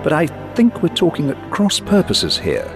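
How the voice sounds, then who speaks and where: A man's voice: fairly high pitch, huge pitch swings, music in the background, voice pushed up. A man as a puzzled old veteran pilot. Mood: faltering, explaining. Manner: formal, halting, explanatory. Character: older male ex-RAF pilot, puzzled, courteous veteran.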